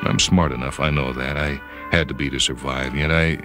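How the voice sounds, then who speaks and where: Male narrator, extremely low and forceful, measured dramatic first-person fiction reading. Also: fairly even intonation. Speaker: story reader. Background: background music.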